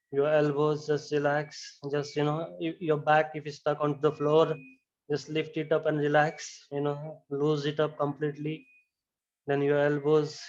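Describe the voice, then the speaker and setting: Male yoga instructor, slightly high and very even voice, calm repetitive guidance, muffled low-fi recording. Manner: slow, repetitive calm instructions with frequent "you know". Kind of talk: guided relaxation and chanting.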